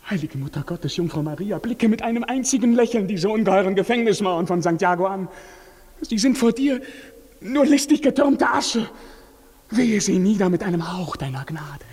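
High, husky, anguished young prisoner. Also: male voice, exaggerated voice. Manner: impassioned, declamatory praying. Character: desperate young imprisoned lover pleading in anguish. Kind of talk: 1950s German radio drama acting.

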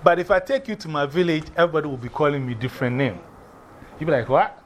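A man from Ghana with a Ghanaian accent, teaching a class in a lively way, often repeating and spelling out words. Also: fairly high pitch, fast talker, a little hiss or hum.

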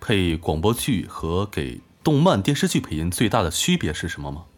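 A man's voice: deep voice, very animated, moderate pace, soft background music. A man hosting a casual question-and-answer chat, passing questions on to his guests. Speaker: host.

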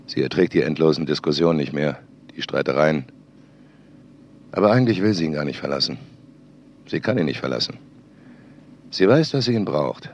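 An actor playing a stern, aloof storyteller who narrates dramatically in short, deliberate sentences on an old home tape. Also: male voice, a little hiss or hum, full character voice. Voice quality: very deep, gravelly.

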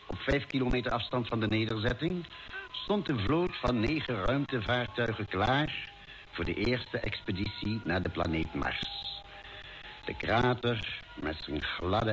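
A man playing the formal, measured narrator of a space adventure radio drama. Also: mid-range voice, natural voice, rough recording. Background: music.

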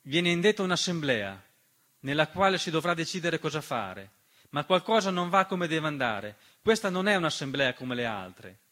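A man performing a dramatic political theatre monologue in an emphatic, rhetorical manner with deliberate repetition. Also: fairly high pitch, sing-song, pushed and bright. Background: piano accompaniment.

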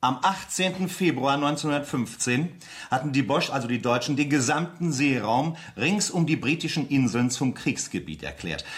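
A man's voice: mid-range voice, fairly bright tone. An actor in a German radio play playing a stern military interrogator, formal, insistent and sardonic as he makes his accusations. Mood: stern, accusing.

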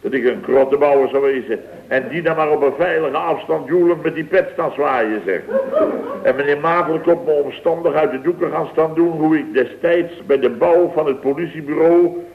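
An actor playing a boastful, easily flustered building contractor boss in a radio comedy, rambling and full of verbal tags. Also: male voice, a little high, moderate pace, close to an everyday voice. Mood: indignant. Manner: rambling, peppered with "hè" and "zeg". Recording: tinny, band-limited vintage radio recording.

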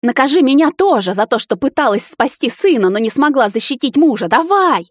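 A woman's voice: high voice, very animated, fairly quick, dry recording, pitched above the performer's own voice. A woman voicing an enraged middle-aged mother who shrieks and hisses accusations, close to tears, in a dry close recording. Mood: furious. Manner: shrieking, hissing, near tears. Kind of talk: audiobook character voicing.

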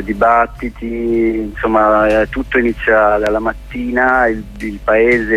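A man's voice: on the low side, vocal fry, slightly rough, muffled recording. A man explaining things off the cuff as a festival director in a radio interview, often restarting and piling up clauses.

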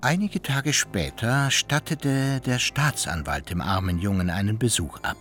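An actor as the wry, literary narrator of a German radio drama, telling of a hapless hero. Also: male voice, lowish voice, unhurried, fairly bright tone, faint music behind.

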